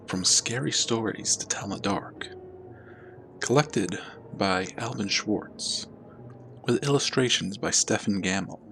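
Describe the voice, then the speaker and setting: Low-voiced man narrating a horror story. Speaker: storyteller, channel host.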